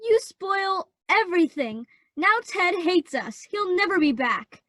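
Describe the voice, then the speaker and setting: A woman's voice: extremely high pitch, expressive, measured pace, fairly bright tone, no room sound, studio quality, heavily acted. A woman playing a spiteful, bratty teenage girl in a radio play, speaking in a snippy, scornful way. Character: Amy, spiteful bratty teenage younger sister.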